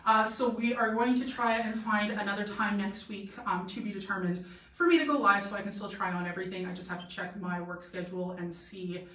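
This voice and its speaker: A woman's voice: mid-range voice, steady tone, a little fast, pushed and bright. A young woman from Canada hosting a casual live-stream try-on, talking in a chatty, rambling way with many fillers and a slightly sore throat. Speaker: boutique model and live-stream host. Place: boutique room with a clothing rack. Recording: echoey room, voice from a distance.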